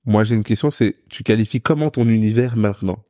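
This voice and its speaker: A man's voice: lowish voice, quick pace, dark tone, no room sound, clean studio sound. A man hosting a podcast interview in a casual, chatty way, asking questions and often answering with short agreements. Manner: frequent short backchannel replies, chatty questions. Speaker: podcast host.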